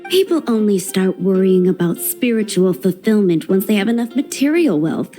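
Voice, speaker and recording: A woman's voice: mid-range voice, expressive, normal speed, mellow, faint music behind, close to an everyday voice. A woman voicing a wry, self-assured young tavern owner in a video game, with a teasing, dry delivery. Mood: wry, composed.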